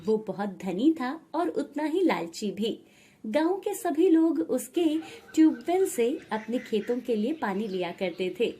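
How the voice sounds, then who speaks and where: A woman's voice: sits high, dark tone, slightly rough. A woman narrating a scripted moral tale as a storyteller.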